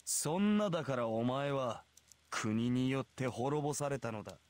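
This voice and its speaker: A man's voice: medium pitch, expressive, fast talker, darkish tone, no room sound, close to an everyday voice. An actor voicing an arrogant, merciless heroic king in a visual novel, with a haughty, sneering and imperious manner. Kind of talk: Japanese visual novel voice acting.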